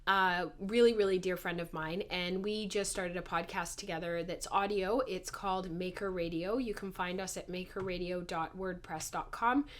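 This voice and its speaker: A woman hosts a podcast, chatting casually to viewers with a hoarse, congested voice from a bad cold. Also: fairly low pitch, fairly even intonation, normal speed, few pauses. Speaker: podcast host.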